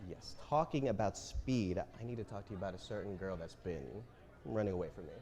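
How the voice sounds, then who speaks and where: An actor in a staged reading playing a smug, entitled factory commander who is condescending and menacing. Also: male voice, sits low, brisk, mellow. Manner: smug, condescending, easily riled.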